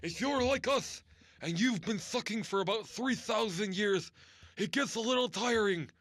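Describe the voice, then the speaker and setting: Male vampire salesman, thousands of years old, high voice, halting, theatrical, comedy infomercial. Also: a bit bright, no echo. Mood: salesmanlike. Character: ancient male vampire product spokesman, world-weary salesman. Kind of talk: mock infomercial sales pitch.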